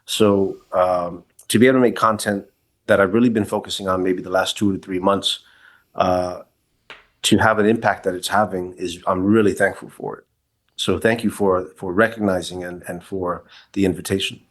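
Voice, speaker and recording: A man in his forties from New York City speaks as a podcast guest, with a lowish voice. He talks candidly and reflectively, often restarting his sentences as he goes. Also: steady tone, normal speed. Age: 40s. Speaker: podcast guest, kink educator. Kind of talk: casual interview, personal story.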